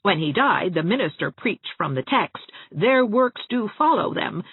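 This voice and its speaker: A woman's voice: medium pitch, big pitch swings, a little slow, husky, dry recording, phone-quality sound, pitched above the performer's own voice, full character voice. A woman voicing a ladylike small-town spinster dressmaker in an audiobook, chatting genteelly with relish for grim family gossip. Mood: proud, chatty. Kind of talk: acted audiobook dialogue.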